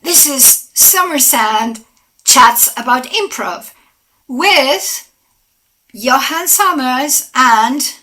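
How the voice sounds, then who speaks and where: A woman co-hosting, opening the show with a slow, drawn-out greeting. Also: sits high, expressive, pushed and bright. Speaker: co-host. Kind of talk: show introduction.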